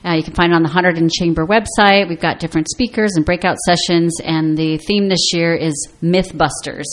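Low-pitched woman hosting radio. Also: fairly even intonation, brisk. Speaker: radio show host. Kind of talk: conversational radio interview.

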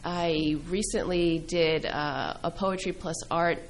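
A middle-aged woman who grew up speaking Greek, a poet answering interview questions thoughtfully, with many pauses and fillers. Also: fairly low pitch, steady tone, background noise. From New England. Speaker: poet and filmmaker guest.

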